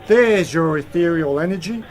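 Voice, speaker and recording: A man's voice: fairly high pitch, lively intonation, normal speed, lightly acted. A man acting as a brilliant, confident space-travelling scientist uncle in a radio drama, explaining things in an assured, theatrical way. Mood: lecturing. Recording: noticeable background noise.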